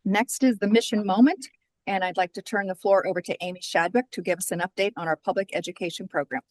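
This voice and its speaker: A woman chairing a formal board meeting over a video call, speaking in a measured, procedural way. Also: mid-range voice, fairly even intonation, darkish tone, no echo, rough recording.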